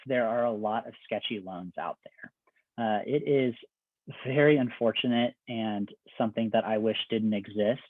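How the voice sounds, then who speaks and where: A man working as an admissions counselor, giving a conversational, step-by-step presentation with everyday examples. His voice is getting a little raspy. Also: medium pitch, no echo. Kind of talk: informational presentation. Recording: dry, phone-like video call audio.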